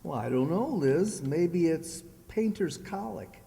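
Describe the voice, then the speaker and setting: A man's voice: a little high, animated, takes it slowly, creaky, dark tone, heavily acted. Man playing sulky husband in an echoey hall. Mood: mopey, feigning illness. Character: sulky, jealous, self-pitying husband in a domestic comedy. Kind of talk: old-time radio comedy acting, re-created. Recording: echoey hall, long reverb.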